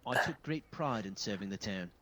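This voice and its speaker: A man's voice: on the low side, animated, normal speed, pushed and bright. An actor playing a courteous, proud nobleman soldier in a fantasy video game, speaking politely and formally. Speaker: game companion character. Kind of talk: scripted fantasy game dialogue.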